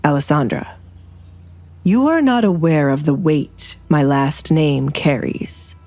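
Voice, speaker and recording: Woman voicing a cool, domineering aristocratic man, lowish. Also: lively intonation, slow, dark tone, full character voice. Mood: assured. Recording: faint background music.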